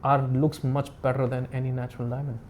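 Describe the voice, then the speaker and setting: A man speaking as an expert guest in a casual interview, explaining in halting, repetitive, non-native English phrasing. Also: medium pitch, little pitch movement, darkish tone, a little hiss or hum.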